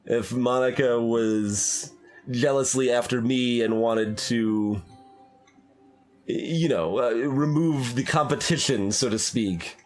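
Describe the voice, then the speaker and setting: A man giving casual, rambling commentary as he plays a game, halting and thinking aloud as he speculates, with game music behind him. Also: medium pitch. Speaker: streamer doing a blind voiced playthrough. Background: video game music.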